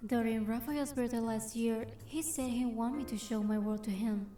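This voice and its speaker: An actress plays a gentle young woman, the heroine of a story game. Her voice is fairly high and very mellow, with a soft, measured delivery. Faint music plays behind her. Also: lightly acted. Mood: reflective. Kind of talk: scripted game narration and dialogue.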